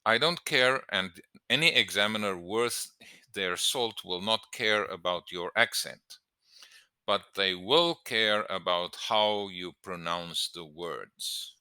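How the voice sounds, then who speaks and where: Low-voiced man teaching, bright and forceful. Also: smooth, no echo. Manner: emphatic, deliberate, stressing key words. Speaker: English teacher giving exam tips. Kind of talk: instructional lesson to camera.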